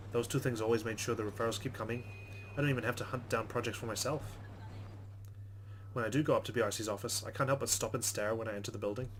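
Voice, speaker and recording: A man's voice: lowish voice, slight background noise. A man hosting a let's play, reading game narration aloud with casual commentary.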